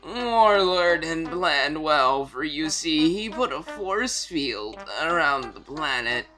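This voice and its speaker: A man voicing a gloating, impatient alien warrior villain in a theatrical, drawn-out way, as in a fan fiction reading. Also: on the high side, animated, sharp tone, faint music behind, full character voice, rough recording. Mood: smug, impatient.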